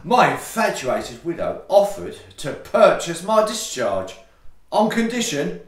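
A man's voice: fairly high pitch, big pitch swings, slow pace. Guy playing a touchy pompous victorian gent, stagey farce, voice swoops around, bit roomy. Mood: pompous, confiding. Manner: pompous, mannered, formal. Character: indignant, theatrical Victorian gentleman lodger, pompous and touchy. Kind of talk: stagey comic farce acting for an audio play.

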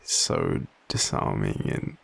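An Australian man playing a vulnerable, devoted young partner in an intimate audio roleplay, hesitant and halting, with a husky voice. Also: lightly acted. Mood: tender, nervous. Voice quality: husky, gravelly. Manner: hesitant, halting, soft-spoken confession.

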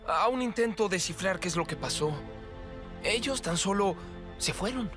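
A man's voice: high-pitched, animated, normal speed. A man with a Latin American accent playing an earnest, determined and curious teenage boy hero in a cartoon dub. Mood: pensive, determined. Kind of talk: animated series dub acting. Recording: music behind.

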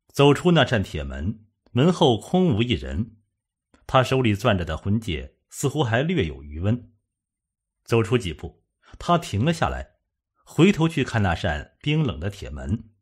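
A man's voice: on the low side, slightly rough. A man narrating a suspense novel from a script, as an audiobook reader.

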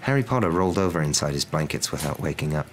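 Low-voiced man reading a story, noisy background. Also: lively intonation, fast. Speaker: narrator reading a novel aloud. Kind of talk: hushed ASMR storybook narration. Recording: noticeable background noise.

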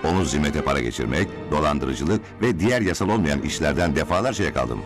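Older male chief, cartoon dub, bass-deep, gruff, skeptical, expressive intonation. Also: quick pace, music in the background. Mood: stern, skeptical. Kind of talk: dubbed cartoon acting.

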